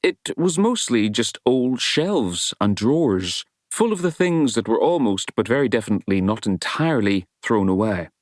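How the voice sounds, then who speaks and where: A man reading a novel as an audiobook narrator, in a wry storytelling style with measured, dramatic emphasis. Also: mid-range voice, big pitch swings, no room sound, studio quality.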